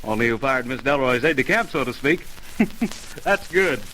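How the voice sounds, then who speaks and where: A man's voice: mid-range voice, animated, moderate pace, bright, forceful tone. A man playing a wisecracking, teasing newspaper reporter in 1930s-style radio drama acting. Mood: smug, amused. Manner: breezy, teasing. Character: cocky, wisecracking male roving newspaper reporter. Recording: noisy old radio recording.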